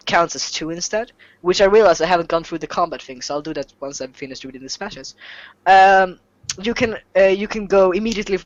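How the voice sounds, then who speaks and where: A native Swedish speaker running a tabletop game as game master, explaining rules off the cuff in a halting, self-deprecating, jokey way. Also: mid-range voice, no echo, dull audio.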